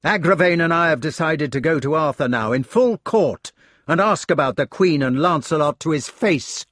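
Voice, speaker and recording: Man as icy, sneering Mordred, pitched high. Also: very animated, slow, no echo, studio quality, exaggerated voice. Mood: bitter, defiant. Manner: icy, sardonic, nagging. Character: Mordred, cold sneering humpbacked knight, bitter and defiant.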